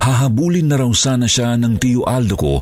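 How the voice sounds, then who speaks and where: A man's voice: mid-range voice, animated, a little fast, darkish tone. A man with a Filipino accent reading a scripted horror story as narrator. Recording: background music under the voice.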